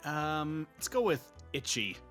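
A man's voice: fairly high pitch, big pitch swings, slow, fairly bright tone, faint music behind. A man chatting casually as a guest player in a party game. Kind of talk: casual chat, hesitant answering.

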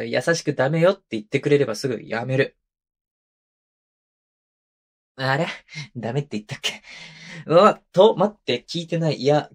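A man's voice: on the high side, creaky, exaggerated voice. A male voice actor playing a playful, clingy, childlike young husband in an intimate ASMR drama, coaxing and teasing in a casual, hesitant way. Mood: whiny, pleading. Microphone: close microphone, binaural.

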